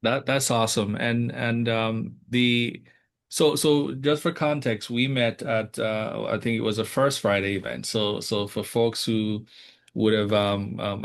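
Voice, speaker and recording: A man hosting an interview, speaking haltingly with many fillers and repeated words. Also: fairly low pitch, vocal fry. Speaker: host. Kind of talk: casual interview.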